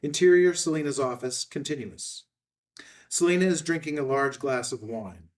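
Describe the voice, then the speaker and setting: A man's voice: on the high side, normal speed, far from the mic. A man reading screenplay stage directions as scripted narration. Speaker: stage directions reader at a table read.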